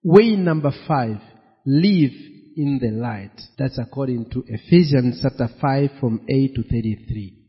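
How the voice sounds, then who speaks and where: A pastor preaching a sermon, slow and emphatic, repeating his key phrases. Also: mid-range voice, husky. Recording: dull, echoey hall.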